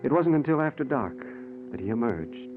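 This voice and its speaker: An actor playing an anxious, conscience-stricken scientist with an earnest, grave manner in 1940s radio drama style. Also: male voice, mid-range voice, very animated, normal speed, narrow, tinny audio, close to an everyday voice. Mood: grave narration. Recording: noisy, band-limited 1940s radio recording.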